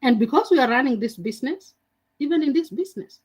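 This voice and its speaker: A woman hosting a faith-based conversation, opening in an unhurried, inviting way. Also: sits high, expressive, a little mellow, slightly rough. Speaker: host.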